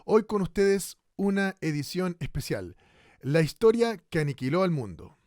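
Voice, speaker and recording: A man's voice: sits high, sing-song, moderate pace, darkish tone, no echo, studio quality. An actor playing a male radio host of a future broadcast in a radio drama, with an announcer's cadence broken by hesitant fillers.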